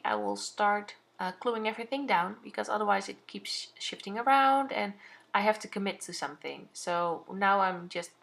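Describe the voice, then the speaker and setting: A woman's voice: mid-range voice, creaky, fairly bright tone. A young woman with a Dutch accent, talking through her scrapbooking in a casual voice-over, hesitant and full of fillers. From Netherlands. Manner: hesitant, many fillers and restarts. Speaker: scrapbooking channel host.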